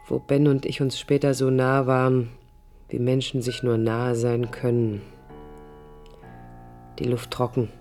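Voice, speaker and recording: A woman's voice: very deep voice, mellow. An actress playing a young, idealistic woman architect who recalls her life in an intimate, literary radio play monologue, reflective and critical. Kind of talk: 1980s radio play inner-monologue narration.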